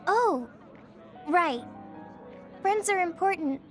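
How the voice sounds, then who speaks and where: A woman's voice: extremely high pitch, expressive, slow pace, faint music behind, exaggerated voice. An actress playing a cheerful but self-doubting, earnest teenage girl soldier in a video game. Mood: earnest, bashful. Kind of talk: English video game voice acting.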